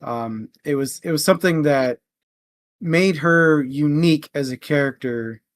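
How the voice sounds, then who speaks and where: A man hosting a casual book discussion, thinking aloud in a halting way. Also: a little high, no room sound, clean studio sound. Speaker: book discussion show host.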